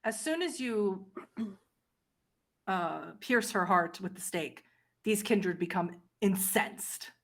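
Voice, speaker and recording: A woman working as game master, narrating a tabletop horror roleplay game in a measured way and directing the players. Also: mid-range voice, lively intonation. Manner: measured, directing players through scenes and rolls.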